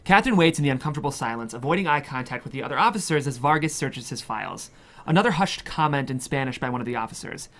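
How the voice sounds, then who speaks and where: A man's voice: mid-range voice, expressive, fast talker, fairly bright tone. A man reading a screenplay's stage directions as scripted narration. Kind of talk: scripted narration at a table read.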